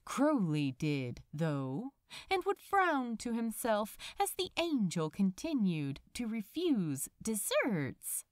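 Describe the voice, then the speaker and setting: A woman narrating a story expressively, with deliberate, dramatic phrasing. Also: on the high side, no echo, studio quality. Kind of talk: expressive scripted fan fiction narration.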